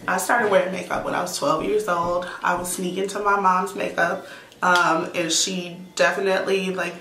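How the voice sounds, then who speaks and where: A woman in her thirties talks through viewer questions in a relaxed, chatty way, her voice sitting a little low. The sound bounces around a room at home, with a faint hiss underneath. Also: reverberant, low-quality recording. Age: 30s. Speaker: YouTuber, teacher. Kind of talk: casual Q&A vlog.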